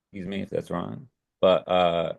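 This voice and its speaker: Deep gravelly male moderator, hesitant. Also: flat delivery, normal speed, highs cut off. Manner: hesitant, filler-heavy. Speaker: webinar moderator. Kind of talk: introducing a speaker.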